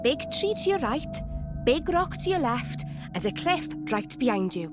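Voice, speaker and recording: A woman voicing a sassy, sharp-tongued furry-eared fighter in a video game, sardonic and blunt. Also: high voice, big pitch swings, moderate pace. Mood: mocking. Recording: loud music under dialogue.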